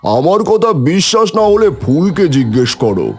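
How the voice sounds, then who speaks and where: A man's voice: on the high side, big pitch swings, unhurried, darkish tone. A man voicing a proud, boastful creator god with a pompous, declamatory manner in a mythological cartoon. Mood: insistent.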